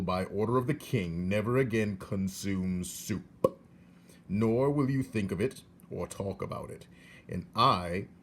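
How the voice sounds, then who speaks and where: A man voicing a dutiful soldier of the king who speaks in a loud, formal, measured tone. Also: deep voice, a little hiss or hum.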